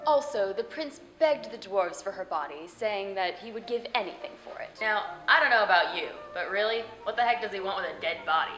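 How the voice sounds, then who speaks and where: A woman presents scripted storytelling as a show host, speaking straight to the viewer. Her delivery is playful and a little theatrical, at an average pitch, with music underneath. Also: expressive, fairly quick, hardly any pauses. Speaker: host of a fairy tale web show.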